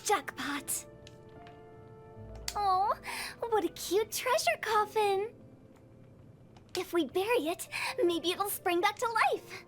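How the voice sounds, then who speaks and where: Playful, high-pitched spooky girl. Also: takes it slowly, long pauses, soft background music. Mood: gleeful, playful. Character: playful, spooky-cheerful young girl who delights in coffins. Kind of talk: video game character voice lines.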